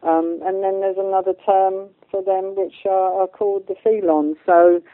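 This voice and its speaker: A woman speaks over a narrow, phone-like connection with very little room sound. Her pitch sits in the middle range. She explains her beliefs as an interview guest, informally and in loose, wandering sentences. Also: steady tone, normal speed, no room sound. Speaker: guest medium and channeller.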